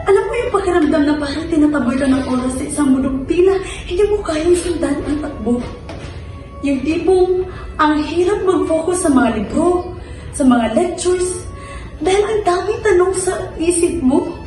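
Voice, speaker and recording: Woman acting an anguished young student, high and echoey. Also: animated, normal speed, dark tone. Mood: troubled, questioning. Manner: emotional, plaintive delivery. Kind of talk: dramatic stage monologue. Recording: very echoey room, distant mic, music.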